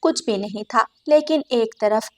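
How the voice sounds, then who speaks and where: High-pitched woman narrating a story. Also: measured pace, a bit bright.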